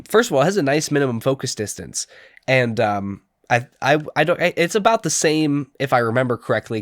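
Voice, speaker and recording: A man's voice: medium pitch, dry recording, studio quality. A young man with a stuffy, congested nose giving a casual spoken gear review, rambling with lots of ums and restarts.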